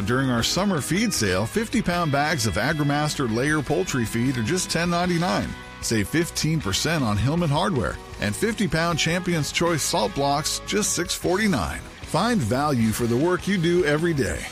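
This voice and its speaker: A man delivering an upbeat sales pitch as a radio ad voice-over. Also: big pitch swings, normal speed, few pauses, music in the background.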